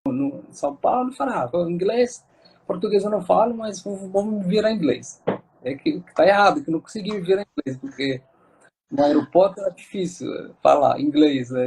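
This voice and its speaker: A man's voice: high voice, vocal fry, darkish tone, breathy edge, rough recording. A Moroccan man speaking with a Moroccan accent, an interview guest talking casually with many fillers and restarts.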